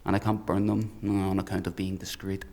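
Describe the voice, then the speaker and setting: An actor playing a menacing, fanatical hunter in a dark fantasy audio drama, speaking in a deliberate, taunting, theatrical way. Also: male voice, sits low, flat delivery. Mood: gloating, taunting. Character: menacing male fanatical hunter, coldly articulate, mocking.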